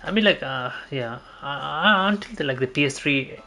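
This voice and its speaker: A man's voice: on the high side, lively intonation, moderate pace, sharp tone, faint music behind. A man giving casual gameplay commentary as a streamer, rambling and hesitant, often repeating himself. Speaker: game streamer.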